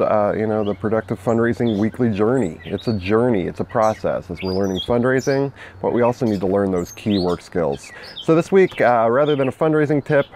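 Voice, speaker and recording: A man's voice: fairly low pitch, a little hiss or hum. A man hosting a weekly fundraising tip, talking casually outdoors with many fillers and birds singing nearby. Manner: relaxed and chatty, many "uh" and "you know" fillers.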